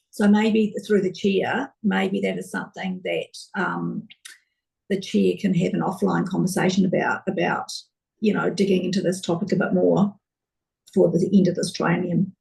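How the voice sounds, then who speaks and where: A woman ward councillor speaking hesitantly in a council committee meeting, her voice croaky. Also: lowish voice, lively intonation, measured pace, a little mellow, far from the mic, poor audio. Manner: hesitant, searching for words. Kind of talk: committee discussion.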